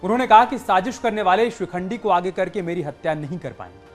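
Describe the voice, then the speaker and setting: A man's voice: sits high, animated, fast talker, loud music behind the voice. A man presenting a news bulletin as an anchor.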